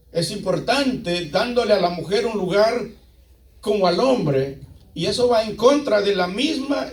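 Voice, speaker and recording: High-voiced Latin American man preaching, echoey room. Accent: Latin American Spanish. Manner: emphatic, asks rhetorical questions, repeats phrases for emphasis. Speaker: pastor preaching. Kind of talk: sermon to a congregation. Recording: echoey room, picked up from a distance.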